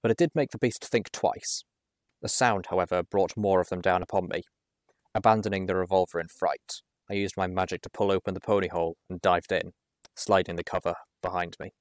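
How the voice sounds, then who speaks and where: Man, deep voice, dramatic first-person narration, measured expressive storytelling, close microphone. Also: no room sound. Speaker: audiobook reader.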